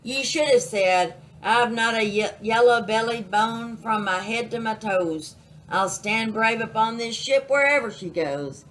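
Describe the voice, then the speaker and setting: Gruff, demanding male captain performed by a woman, storybook style, blustering dialect, some background noise. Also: on the high side, a little slow, a bit bright, low-quality recording. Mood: scolding, emphatic. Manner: bellowing, salty pirate dialect.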